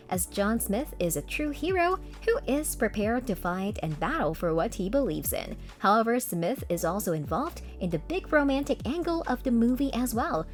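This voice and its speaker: A woman delivers scripted narration in the polished manner of a video presenter. Her intonation is lively and moves freely up and down around a mid-range pitch. Light music plays behind her voice. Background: background music.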